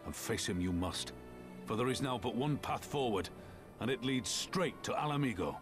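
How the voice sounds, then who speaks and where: Resolute game ally, male actor, medium pitch, grave formal speech, music clearly behind. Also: expressive, moderate pace, close to an everyday voice. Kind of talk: video game dialogue acting.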